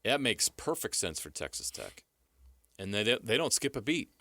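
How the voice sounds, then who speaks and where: A man with an American accent and a fairly low, bright, punchy voice talks sports as a radio co-host. His delivery is casual, assured and explanatory. The studio sound is dry and close. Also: very animated, normal speed, gravelly, no echo.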